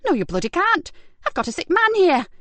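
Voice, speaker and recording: A woman voicing a frightened, defensive middle-aged female carer who snaps and pleads in a shrill voice. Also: moderate pace, gravelly, dry recording. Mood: pleading.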